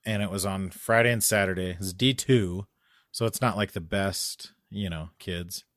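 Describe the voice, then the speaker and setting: A man in casual chat, telling a long story that gets louder and more animated as it goes. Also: deep voice, moderate pace, no room sound. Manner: animated storytelling, rising loud when excited.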